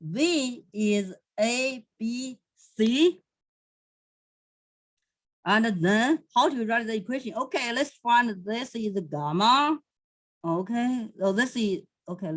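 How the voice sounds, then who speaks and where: A woman from southwest China with a Chinese accent, teaching math in a recorded lecture and often pausing to correct herself. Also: medium pitch. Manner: slow, halting, thinking aloud, self-correcting. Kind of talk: recorded lecture over a digital whiteboard.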